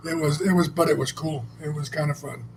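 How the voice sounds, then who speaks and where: A man's voice: a little high, steady tone, quick pace, a little hiss or hum. Blunt, rambling man telling stories. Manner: rambling, blunt, salty, with frequent restarts. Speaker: co-host. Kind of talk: casual conversational storytelling.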